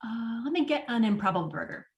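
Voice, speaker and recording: A woman's voice: a little high, sing-song, fast talker, lo-fi sound. A woman playing a casual, socially awkward store manager in a comedy table read, wry and a little hesitant. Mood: dry, casual.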